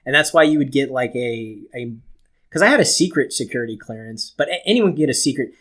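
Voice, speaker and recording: A man's voice: medium pitch, lively intonation, fast talker, a bit bright. A man chatting casually as a podcast co-host, telling stories in a profane, self-mocking way. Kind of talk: casual chat, storytelling.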